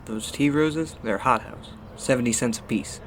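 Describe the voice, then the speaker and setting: A man's voice: mid-range voice, normal speed, slight background noise, lightly acted. A man playing an old street flower seller in a radio play, with folksy, familiar salesman patter. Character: chatty old male street flower vendor.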